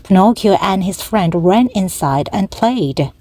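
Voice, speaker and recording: Woman narrator, very slow and deliberate, clear storybook reading, dry sound. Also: medium pitch, lively intonation, warm tone, no room sound. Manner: slow, careful, evenly paced reading. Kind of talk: slow read-aloud for language learners.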